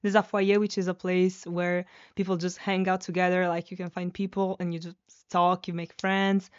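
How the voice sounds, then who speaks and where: A young Italian woman chatting casually in a student room, her voice smooth and resonant. The sound is close and dry, with a slightly dull, lo-fi edge. She talks freely and now and then gropes for English words. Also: on the low side, little pitch movement, normal speed, no room sound. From Sardinia, Italy. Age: 20s. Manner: chatty, searches for English words, slips into French. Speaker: guest. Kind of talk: casual podcast chat.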